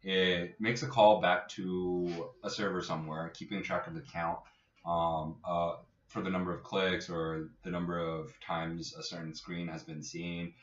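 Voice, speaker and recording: A man co-hosting a casual tech podcast as an app developer, explaining things in an informal, jokey, halting way. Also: sits low, level tone. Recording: echoey room, distant muffled mic.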